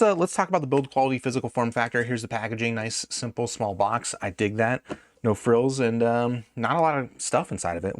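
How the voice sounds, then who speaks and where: A man's voice: medium pitch, dry recording, clean studio sound. A man hosting a casual, unscripted gadget review, talking conversationally and correcting himself as he goes. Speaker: product reviewer and host.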